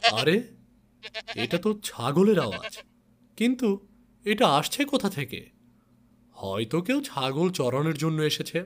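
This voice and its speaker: A man playing a kindly woodcutter father in an animated moral story, expressive and coaxing. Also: a little high, a little slow, long pauses, full character voice. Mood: puzzled.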